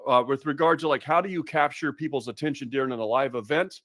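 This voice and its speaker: Casting: friendly American male webinar host, mid pitch, dry close sound, filler-heavy chat. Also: fast, a bit bright, no room sound. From Massachusetts, USA. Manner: chatty, upbeat, lots of fillers and restarts. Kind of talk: live webinar presentation.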